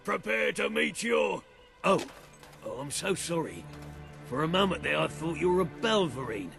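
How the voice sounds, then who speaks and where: An actor playing a jumpy, blustering monster hunter in a video game, with a gravelly voice. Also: male voice, fairly high pitch, big pitch swings, moderate pace, music in the background. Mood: startled, apologetic.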